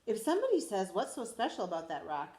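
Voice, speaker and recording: A school principal, a woman, reading a picture book aloud to children in a lively, expressive way. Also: medium pitch, quick pace, echoey room. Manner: animated, expressive storytelling to children.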